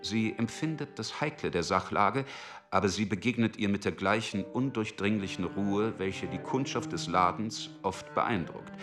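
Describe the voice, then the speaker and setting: Deep, sober narrator, a man reading. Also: normal speed, music in the background, natural voice. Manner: sober, explanatory. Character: explanatory narrator of a crime drama. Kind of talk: scripted narration.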